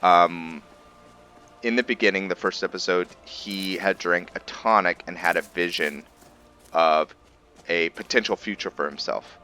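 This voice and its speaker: A man's voice: low voice, unhurried, pushed and bright. A man giving casual let's play commentary, musing and explaining as he goes. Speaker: host of a gaming roleplay series.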